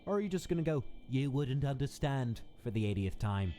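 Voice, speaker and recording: A man's voice: mid-range voice, animated, fairly quick, dark tone, slight background noise, natural voice. A man playing a bitter, defiant young skeleton captive in a dramatic comic dub, sardonic and taunting. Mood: sarcastic, taunting.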